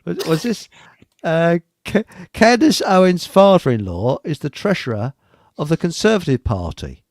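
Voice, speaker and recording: A man's voice: fairly high pitch, sing-song, normal speed, dry recording, studio quality. A man from England hosting a casual online chat show, rambling and chatty, stumbling over his words. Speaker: host.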